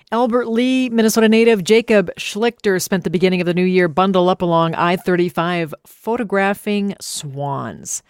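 A woman's voice: on the low side, dry recording, clean studio sound. A middle-aged American woman hosting a radio program in a conversational interviewing style. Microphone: close studio microphone.

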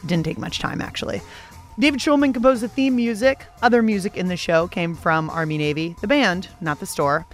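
An American woman speaking as a podcast host, with a playful, jokey way of narrating and interviewing. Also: mid-range voice. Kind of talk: scripted radio narration and interviewing.

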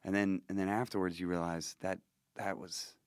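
A man's voice: deep voice, level tone, normal speed, dry recording, studio quality. A man hosting a podcast in casual chat, a bit nasal from a cold, rambling and restarting his sentences with jokes. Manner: rambling, self-interrupting, joking. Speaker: podcast host.

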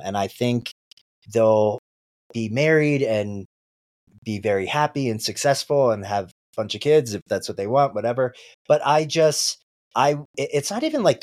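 Animated, teasing man in his thirties. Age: 30s. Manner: teasing, jumps high when mocking. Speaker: podcast guest. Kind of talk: casual chat. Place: Los Angeles.

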